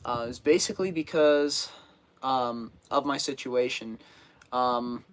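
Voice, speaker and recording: A man's voice: mid-range voice, slow. A teenage boy chatting casually on a live stream, getting over a cold and sniffling, rambling with lots of fillers and restarts. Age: teens. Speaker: fishing channel host.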